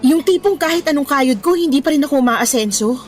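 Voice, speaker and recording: A woman's voice: high-pitched, expressive, fast talker. A woman playing an ambitious, stubborn young worker in a radio romance drama, talking in an emphatic, exasperated, conversational way. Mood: weary, frustrated. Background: background music.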